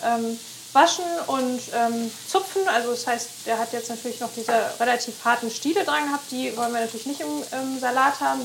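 A woman's voice: sits high, vocal fry. A woman guest cook explaining her cooking steps, with many filler sounds. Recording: noisy kitchen with frying.

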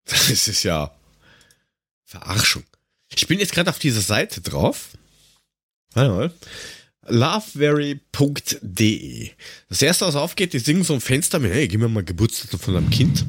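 A man with a husky voice chats casually as a podcast co-host. His intonation swings widely, full of sarcasm and crude slang. The sound is dry, with no room around him. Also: medium pitch, sing-song, unhurried, pauses often, dry recording. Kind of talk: casual chat, humorous banter.

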